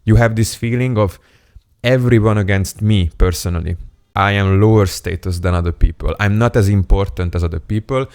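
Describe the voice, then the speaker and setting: Man, very low voice, dry close sound, playful self-mocking storyteller. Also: normal speed, a little mellow, no echo. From Hungary. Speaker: self-improvement YouTuber. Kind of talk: casual storytelling monologue to camera.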